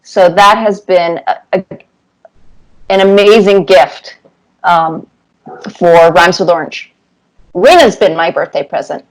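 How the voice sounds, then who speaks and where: A woman cartoonist answering interview questions with casual anecdotes, telling them in an animated way and repeating words for emphasis. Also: on the low side, slow, long pauses, low-quality recording.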